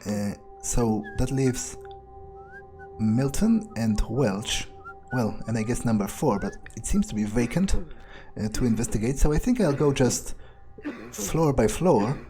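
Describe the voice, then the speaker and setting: A man improvising in-character video game commentary, thinking aloud in a deadpan, callous way with pauses. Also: medium pitch, soft background music. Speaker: gameplay player staying in character.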